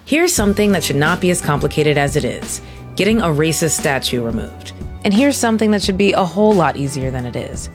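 A woman's voice: low voice, expressive, fairly quick, soft background music. A woman hosting a podcast, reading a scripted promo for it. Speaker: podcast host.

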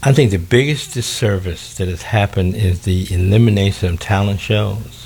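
A man speaking as a guest on a radio talk show, reflective and relaxed. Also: on the low side, normal speed, a little hiss or hum. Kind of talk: casual interview.

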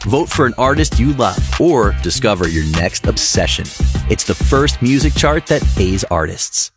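A man gives a hyped sales pitch for an app. His voice sits in the middle range, and music plays clearly under him. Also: expressive, moderate pace. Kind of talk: scripted ad read.

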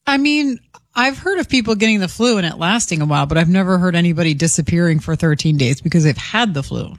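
A man's voice: high-pitched, expressive, quick pace, no echo. A man co-hosting a talk radio show, answering with dry, wry remarks.

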